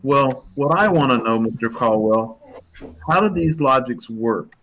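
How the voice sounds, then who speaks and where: A folksy shopkeeper, a man acting in a radio comedy, mid-pitched and plain-spoken. He is a mild, henpecked family man. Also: animated, unhurried, fairly bright tone, low-quality recording. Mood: curious. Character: mild, folksy small-store owner, henpecked father. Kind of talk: hobby radio play acting.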